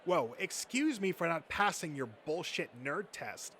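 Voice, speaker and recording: A man's voice: a little high, big pitch swings, unhurried, sharp tone, voice pushed up, exaggerated voice. A man voicing a young woman, a nerd's friendly but easily indignant girlfriend, in a casual comic dub with some recording noise. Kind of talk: comic dub character acting.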